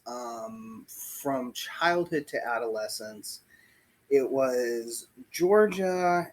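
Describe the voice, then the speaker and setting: Slow, reflective man in his forties. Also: mid-range voice. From Puerto Rico, raised in the US South. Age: 40s. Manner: slow, reflective, pauses often with "um" and "like". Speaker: interview guest. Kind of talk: casual oral history interview. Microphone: video call.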